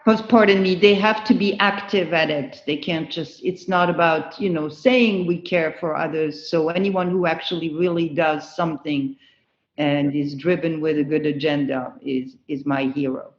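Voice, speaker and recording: A middle-aged woman from France, answering interview questions slowly and earnestly. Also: low-pitched, steady tone, roomy sound, lo-fi sound. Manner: slow, thoughtful, earnest. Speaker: guest.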